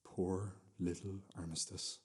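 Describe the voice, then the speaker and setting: An actor playing an obsessive, self-abasing fifty-year-old husband in a radio play, repeating himself insistently and stammering. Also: male voice, low-pitched, level tone, takes it slowly, breathy edge, heavily acted. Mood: hushed, mournful insistence.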